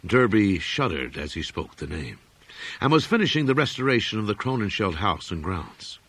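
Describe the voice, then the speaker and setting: A man reading a gothic horror story aloud as an audiobook narrator, in a measured, formal, expressive manner. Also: on the low side, breathy edge, no room sound.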